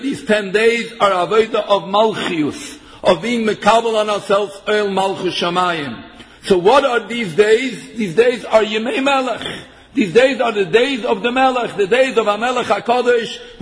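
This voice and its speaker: A man giving a sermon, mixing in Hebrew terms and half-chanting verses. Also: sits high. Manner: English mixed with Yiddish and Hebrew terms, chanting some verses. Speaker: rabbi giving a Torah talk. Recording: long hall reverb.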